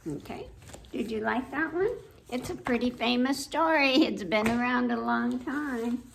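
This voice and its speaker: Slow-paced woman reading to children. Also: fairly high pitch, gravelly, slight background noise. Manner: simple asides and questions to child listeners. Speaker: children's library storytime host. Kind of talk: read-aloud storytelling to young children.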